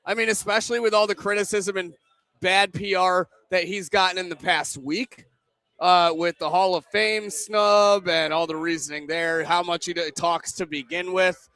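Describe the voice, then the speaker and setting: A man's voice: high-pitched, fairly even intonation, normal speed, sharp tone, dry recording. A young man co-hosting a sports pre-game show from a pizza pub, rambling with self-deprecating banter. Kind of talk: casual sports talk.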